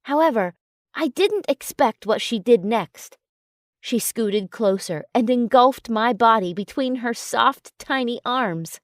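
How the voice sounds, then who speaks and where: A woman narrating an audiobook in a calm, even storytelling manner. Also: fairly high pitch, takes it slowly, dry recording, studio quality. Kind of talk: audiobook narration of a romance novel.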